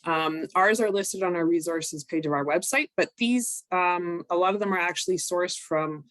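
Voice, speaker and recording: A woman, recovering from a cold, presenting an online training session in a measured, explanatory way with frequent ums. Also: lowish voice, fairly bright tone, dry recording. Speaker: workshop presenter.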